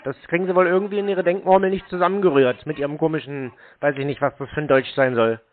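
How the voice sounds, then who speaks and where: A man's voice: fairly high pitch, steady tone, quick pace. A man sparring on a phone call in a curt, sardonic, evasive manner. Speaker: scam-baiter taking a sales call. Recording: narrowband phone-like sound.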